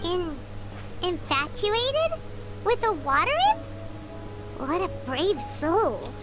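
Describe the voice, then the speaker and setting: A woman playing a tiny, excitable, chatty childlike fairy companion in a video game, with a squeaky voice. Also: takes it slowly, over loud music, heavily acted, rough recording. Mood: curious, amazed. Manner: exclaiming, third-person self-reference. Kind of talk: video game character dialogue.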